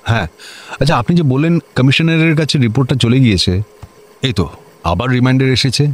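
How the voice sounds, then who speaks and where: An actor playing an officious, excitable district magistrate in a comedy radio play, with a clipped manner and English words mixed in. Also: male voice, mid-range voice, fairly quick, natural voice. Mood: brisk, official. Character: officious, excitable male district magistrate, bossy to juniors, servile to superiors. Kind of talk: Bengali comedy radio drama acting.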